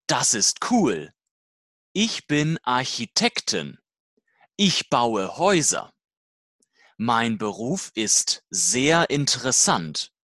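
Language-course dialogue reader: very slow, deliberate delivery, lively pitch movement. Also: dry recording, clean studio sound. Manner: slow, deliberate, simple learner-level sentences.

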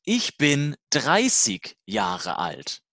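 A speaker reading a scripted language-learning dialogue slowly and deliberately, in short, simple learner-level sentences. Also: mid-range voice, huge pitch swings, fairly bright tone, no room sound, studio quality.

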